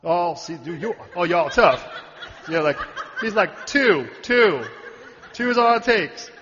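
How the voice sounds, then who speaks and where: A man preaching a sermon, animated and joking with the audience, sometimes shouting out a word. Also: sits high, slow, noisy background. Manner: animated, shouting at peaks, jokey asides to the audience. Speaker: pastor. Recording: muffled hall recording.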